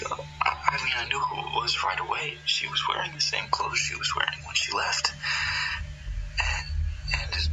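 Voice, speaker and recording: A man playing a haunted fire lookout in a radio horror drama, recounting events in a halting, breathy, confessional monologue. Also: rough recording. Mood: shaken, horrified. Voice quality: low, gravelly, breathy. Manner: halting, breathy, half-whispered. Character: haunted male fire lookout recounting his wife's eerie death.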